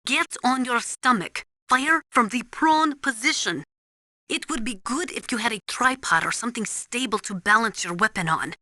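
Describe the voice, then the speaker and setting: Crisp, bright military weapons analyst. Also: female voice, fairly high pitch, animated, normal speed, no room sound, studio quality. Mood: instructive. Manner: precise, instructive, formal, avoids contractions. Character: serious, knowledgeable female Russian military weapons analyst. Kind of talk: video game voice acting, technical briefing.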